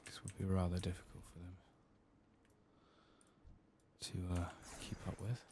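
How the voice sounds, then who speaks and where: A soft-spoken man giving quiet ASMR commentary as he plays through a video game. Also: low-pitched, monotone, a little slow. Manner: soft-spoken, sometimes dropping to a whisper. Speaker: gaming channel host. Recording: clear background noise with clicking.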